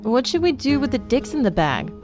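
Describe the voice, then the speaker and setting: A woman's voice: sits high, very animated, brisk, music in the background, pitched above the performer's own voice. A woman voicing a playful, curious female adventurer.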